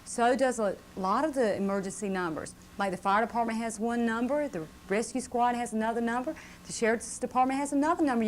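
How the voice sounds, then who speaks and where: A woman speaks fairly high as a safety educator addressing children. She explains things simply and keeps asking checking questions, on an old VHS recording with faint noise. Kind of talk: lesson to a live audience of children. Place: live puppet show presentation.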